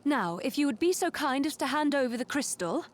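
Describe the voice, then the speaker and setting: A woman's voice: sits high, expressive, a little fast. An actress playing a courteous young noblewoman in a video game, dignified, earnest and formal. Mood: firm, polite. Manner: polite, formal. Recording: very loud music behind. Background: loud game music.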